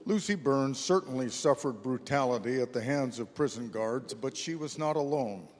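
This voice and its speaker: A man's voice: medium pitch, moderate pace. Male narrator in a reverberant hall. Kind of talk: scripted historical narration, readers theater. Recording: reverberant hall, long echo.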